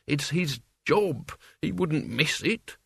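A man's voice: on the high side, lively intonation, slow pace, gravelly, pushed and bright, breathy edge, no room sound, studio quality, exaggerated voice. A man voicing a whining, anxious old manservant. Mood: fretful. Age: older. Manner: whining, rustic turns of phrase.

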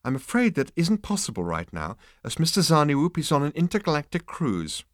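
A man voicing a petulant, easily flustered insect receptionist who speaks icily and squeaks when excited. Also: a little fast, no echo, studio quality. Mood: prissy. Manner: icy, squeaky, flouncing.

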